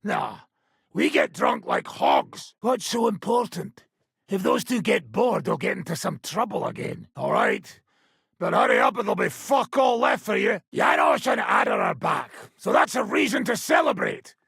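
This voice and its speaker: Gravelly old robber baron. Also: male voice, high-pitched, big pitch swings, moderate pace, fairly bright tone, dry recording, studio quality, full character voice. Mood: loud, rowdy. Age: older. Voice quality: rough, raspy, gravelly. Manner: blunt, profane, sardonic. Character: foul-mouthed old wanted robber baron, gruff war-band leader. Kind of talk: video game character dialogue.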